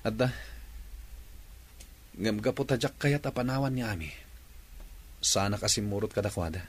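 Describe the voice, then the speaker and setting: A man's voice: fairly low pitch, normal speed, breathy edge. An actor playing an earnest, lovelorn young man in an Ilocano radio drama, with melodramatic delivery. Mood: heartbroken.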